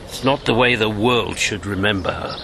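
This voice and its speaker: An actor playing a roguish, haunted old gentleman in a radio drama, with a gravelly voice and genteel old-fashioned slang. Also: fairly low pitch, normal speed, slight background noise. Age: older. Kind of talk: broadcast radio drama acting.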